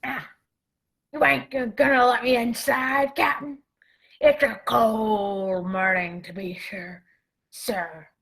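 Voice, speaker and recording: A woman playing a sly villain, gravelly and oily, polite. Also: on the high side, steady tone, slow pace, fairly bright tone, heavily acted. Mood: wheedling, sly. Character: Long John Silver, sly wheedling pirate villain.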